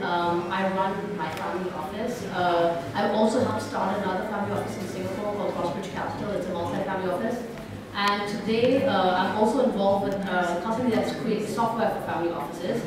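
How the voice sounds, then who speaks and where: A woman who runs a family office, speaking on a panel in a brisk, matter-of-fact way. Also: mid-range voice. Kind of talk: panel discussion. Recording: echoey hall, distant mic, background noise.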